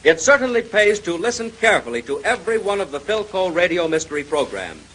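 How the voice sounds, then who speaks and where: A male radio announcer in 1930s style, giving an emphatic contest sales pitch. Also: sing-song, fast talker, fairly bright tone. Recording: noisy vintage radio recording.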